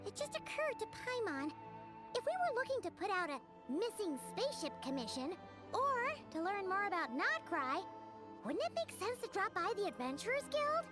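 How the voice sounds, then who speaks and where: A tiny, bubbly fairy companion with an extremely high, squeaky little-kid voice. The delivery is boastful and cheerful, leaping around in pitch like a game mascot, with prominent music behind it. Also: female voice, sing-song, moderate pace. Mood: puzzled, curious. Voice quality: squeaky, childlike. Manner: bubbly, refers to self in third person. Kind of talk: video game character dialogue.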